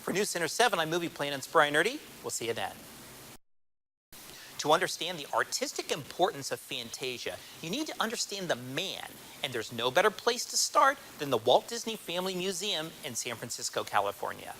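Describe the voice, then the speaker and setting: A man's voice: a little high, big pitch swings, brisk, pushed and bright, a little hiss or hum, low-quality recording. An American man presenting scripted film segments as a TV reporter, with a polished promotional delivery. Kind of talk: scripted broadcast narration. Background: music.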